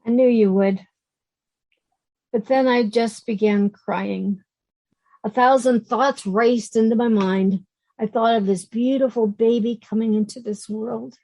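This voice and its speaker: A woman acting a grieving, emotional woman in her late fifties in a play reading, speaking in a halting, heartfelt way. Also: fairly high pitch, fairly even intonation, warm tone, poor audio. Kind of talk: play reading, naturalistic dialogue.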